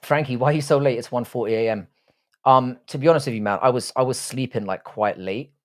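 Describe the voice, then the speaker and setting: A man's voice: mid-range voice, fairly quick. An Irish-born man with a South London accent, chatting casually with his viewers while hosting a livestream and swearing freely. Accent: South London English. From Ireland. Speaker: livestream host. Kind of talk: casual livestream chat reading viewer comments.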